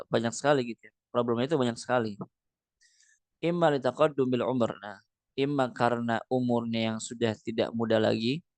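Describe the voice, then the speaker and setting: A man's voice: mid-range voice, gravelly, no room sound. A man teaching a Quran recitation class online, reading Arabic lines from a book and then explaining them, with many fillers and rhetorical questions.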